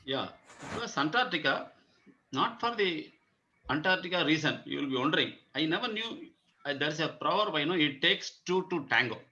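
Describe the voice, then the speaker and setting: Older Indian male guest, piercingly bright delivery, casual storyteller, muffled low-fidelity recording. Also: lively intonation, moderate pace. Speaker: guest, senior marathon runner. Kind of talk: conversational anecdote.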